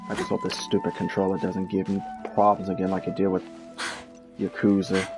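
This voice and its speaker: A man giving casual, halting live commentary as he streams a game, with a dry, grumbling manner. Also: deep voice, completely flat delivery, over music.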